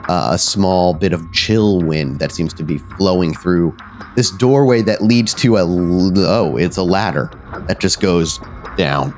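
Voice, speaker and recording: Low-voiced man narrating a horror game. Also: unhurried. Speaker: game master, storyteller. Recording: music behind the voice. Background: background music.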